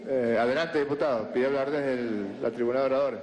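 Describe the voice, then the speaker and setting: A man presides over the session and hands out the floor in brisk procedural phrases. His voice is mid-pitched, with clear background noise underneath it. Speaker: presiding officer.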